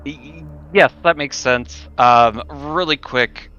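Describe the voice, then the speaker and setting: Slow, bright-voiced man, tentative. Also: mid-range voice, smooth. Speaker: player in a tabletop roleplaying game. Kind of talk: casual game chat. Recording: dull, faintly noisy room recording.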